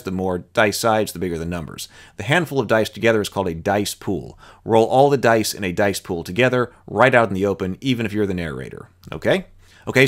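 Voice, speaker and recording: A man hosting his own channel, casually walking through a game's rules on screen and reading passages aloud, thinking out loud with small restarts, at a desk mic in a home room. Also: lowish voice. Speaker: channel host reviewing a game.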